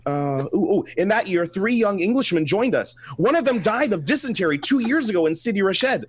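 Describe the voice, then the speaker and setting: A man's voice: high voice, sing-song, fairly quick, husky, exaggerated voice. A man playing a flustered, obliging French Foreign Legion colonel in an amateur radio play, with hesitant, fumbling delivery. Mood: flustered, searching.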